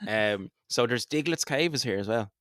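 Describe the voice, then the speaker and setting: A man's voice: medium pitch, expressive, normal speed, fairly bright tone, no room sound, clean studio sound. An Irish man co-hosting a podcast, chatting casually as he narrates a game playthrough. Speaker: podcast co-host.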